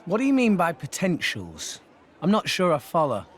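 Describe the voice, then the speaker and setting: Curious, high-voiced teenage boy. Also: huge pitch swings, moderate pace. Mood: puzzled. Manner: questioning, earnest. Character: naive, curious, earnest boy in his teens. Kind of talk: video game cutscene acting. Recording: music behind the voice.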